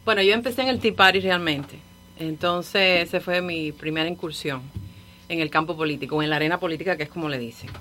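A woman from Cuba with a Cuban Spanish accent, a guest on a radio show who speaks in a thoughtful, rambling way with soft laughs. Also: fairly low pitch, fairly quick, soft background music. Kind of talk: casual radio conversation.

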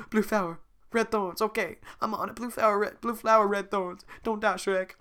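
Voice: fairly even intonation, pushed and bright, natural voice. A podcaster doing an impression of a panicky, overexcited male talking donkey in a home podcast retelling. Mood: frantic. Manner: high-strung, rapid, overexcited. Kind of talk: amateur podcast voice acting.

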